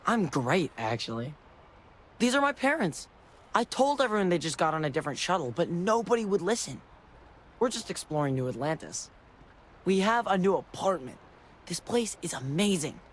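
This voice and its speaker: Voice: medium pitch, very animated, moderate pace. An actor voicing a cheerful, chatty little boy in a video game. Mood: cheerful, excited.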